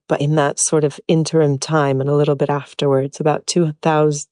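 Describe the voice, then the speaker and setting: Hungarian woman actor talking through her part, steady explaining tone, dry close sound. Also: deep voice, clean tone, dry recording. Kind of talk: interview answers.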